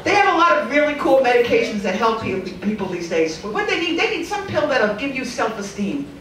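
A woman comic in her forties works a club stage with a handheld mic, her high voice swooping up and down as she delivers wry, deadpan punchlines. The sound is roomy and picked up from well back in the club, with audience laughter and room noise around her. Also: brisk, far from the mic. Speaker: stand-up comedian. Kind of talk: stand-up comedy set.

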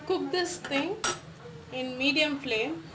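A woman's voice: high voice, slow, background noise. A woman, giving step-by-step recipe instructions as a voice-over in a home kitchen. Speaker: cooking channel host.

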